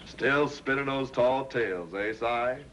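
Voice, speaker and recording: A man's voice: mid-range voice, slow, fairly bright tone, slight background noise, low-quality recording. An actor playing a scornful, teasing townsman with an American accent in a 1950s television western. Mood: mocking. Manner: mocking, needling. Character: scornful, teasing male townsman of the Old West. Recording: old TV soundtrack with music.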